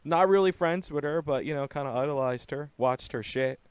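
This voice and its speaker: A man's voice: fairly high pitch, brisk, clear, resonant tone, no room sound. A man hosting a casual radio interview with loose, crude joking banter. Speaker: host.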